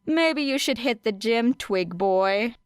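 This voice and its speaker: A woman's voice: fairly high pitch, animated, slow pace, smooth, no room sound, pitched above the performer's own voice. A woman playing a tough, capable young girl in an audiobook, blunt, wry and matter-of-fact. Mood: teasing.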